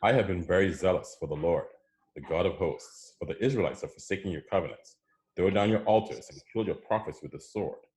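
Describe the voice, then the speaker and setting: Low-voiced man playing a weary, despairing prophet. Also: brisk, darkish tone, lightly acted, poor audio. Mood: earnest, aggrieved. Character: despairing male prophet Elijah, weary and zealous. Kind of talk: dramatized scripture reading.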